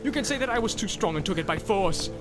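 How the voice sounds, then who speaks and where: A man voicing a proud, tall warrior who is grasping and quick to rage, in a booming, declamatory read. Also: very animated, music in the background. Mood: coaxing. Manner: booming declamatory delivery, rising to shouts.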